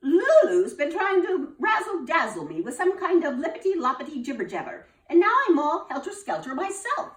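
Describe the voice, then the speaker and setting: A woman voicing a flustered, excitable female schoolteacher who squeals her exclamations in a high voice. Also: normal speed, hardly any pauses, recorded from a distance. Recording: roomy, from off the mic.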